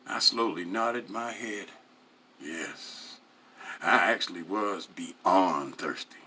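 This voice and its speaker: A man reading a first-person story aloud in an animated, expressive way, with emphatic swells and hushed drops. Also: low-pitched, slow, husky. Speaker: story reader.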